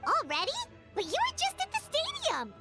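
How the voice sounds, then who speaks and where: Squeaky little excitable game sidekick, super high, swoops all over, loud music. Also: female voice, normal speed, heavily acted. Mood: surprised. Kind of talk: video game voice acting.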